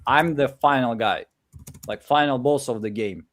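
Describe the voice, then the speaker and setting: Hombre con acento ucraniano, motivador. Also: male voice, medium pitch, normal speed. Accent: Ukrainian. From Ukraine. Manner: energetic, pep-talk delivery with many "like" and "again" fillers. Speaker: coach. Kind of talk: live coaching session. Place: home office in Ukraine. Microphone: video call.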